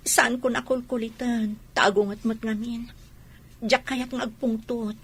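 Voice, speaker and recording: A woman's voice: a little high, fairly even intonation, unhurried, breathy edge. An actress playing a soldier's worried wife who complains about her husband in an Ilocano radio drama. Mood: fretful.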